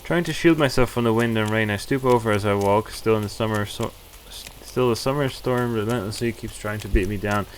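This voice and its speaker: A man's voice: on the low side, a little fast, hardly any pauses, a little hiss or hum. A man streaming a visual novel, reading the narration aloud casually, with stumbles and asides. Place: at home, streaming. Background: faint game music.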